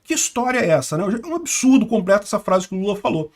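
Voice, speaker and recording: A man's voice: high voice, big pitch swings, quick pace. A Brazilian man giving sarcastic, indignant political commentary. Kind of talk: opinionated news commentary.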